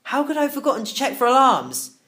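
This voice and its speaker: A man narrating a children's novel in the first person, expressive and theatrical. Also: very high voice, fast talker. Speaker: audiobook narrator.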